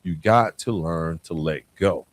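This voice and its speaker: A man's voice: deep voice, unhurried. A man hosting a podcast, talking in a plainspoken, conversational motivational style and addressing listeners directly with rhetorical questions. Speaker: podcast host.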